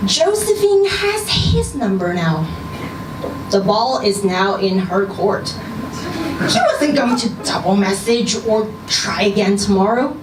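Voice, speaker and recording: Woman doing a live story reading, expressive and measured, bit far from the mic w/ clear background noise. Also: a little high. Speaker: story reader. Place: event room at a live literary reading. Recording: roomy, distant, noisy live event recording.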